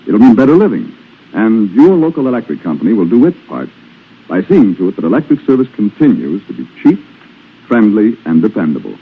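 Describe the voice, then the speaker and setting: Animated 1940s radio announcer man, thin sound. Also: on the low side, a little fast, breathy edge, phone-quality sound. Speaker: commercial announcer. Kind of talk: scripted 1940s radio sponsor message. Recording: thin, band-limited 1940s radio broadcast.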